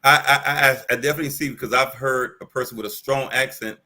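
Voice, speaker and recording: A man hosting a talk show, answering his guest in halting, casual discussion. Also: mid-range voice, steady tone, pushed and bright. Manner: halting, restarts then lays out ideas.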